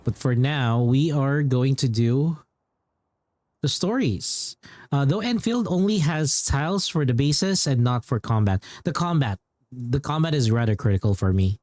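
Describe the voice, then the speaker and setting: A man's voice: medium pitch, lively intonation, unhurried, a little mellow, no room sound. Rambling male game streamer, dry close sound. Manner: rambling, repeats phrases, informal. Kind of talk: casual livestream chat and commentary.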